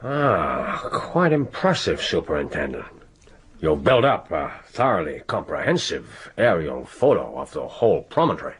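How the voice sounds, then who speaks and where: An actor playing a genial, courteous middle-aged Japanese spymaster in a radio drama, with a Japanese accent and formal, effusive manner. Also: male voice, sits low, big pitch swings, normal speed, slightly rough, heavily acted. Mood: suave. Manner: formal, hesitant interjections, effusive. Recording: noisy radio drama with background ambience.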